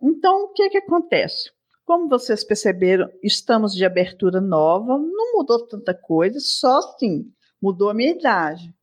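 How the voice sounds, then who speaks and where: A woman's voice: medium pitch, very animated, normal speed. A woman in her sixties hosting her own podcast alone, talking in a chatty, affectionate way that wanders into asides. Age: 60s. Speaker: podcast host. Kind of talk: casual solo podcast chat.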